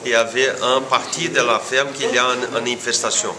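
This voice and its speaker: Brazilian man speaking halting French, noisy recording. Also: medium pitch, level tone. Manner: halting, word-searching non-native French with repeated words. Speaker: coffee farm owner being interviewed. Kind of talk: informal interview. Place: indoor room, seated before camera. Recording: clearly noisy room ambience.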